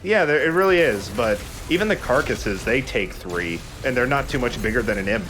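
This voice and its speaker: Very animated man doing game commentary. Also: mid-range voice, normal speed, poor audio. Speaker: let's play gamer and commentator. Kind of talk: casual joking gameplay commentary. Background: faint game music.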